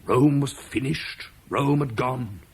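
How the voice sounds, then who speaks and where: An actor playing Marcus, a duty-bound Roman officer, in an old radio play, earnest and measured. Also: male voice, mid-range voice, breathy edge, heavily acted. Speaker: Roman commander leaving Britain. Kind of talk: 1950s BBC radio drama acting.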